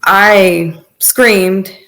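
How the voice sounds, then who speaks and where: A woman telling her personal story as a podcast guest, in a conversational, earnest and halting way. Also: mid-range voice, steady tone, fairly bright tone.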